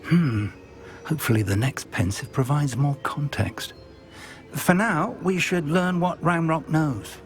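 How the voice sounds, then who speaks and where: An actor playing a thoughtful, practical older wizard mentor in a video game, pensive yet encouraging. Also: male voice, on the high side, measured pace, soft background music, natural voice. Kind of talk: video game dramatic acting.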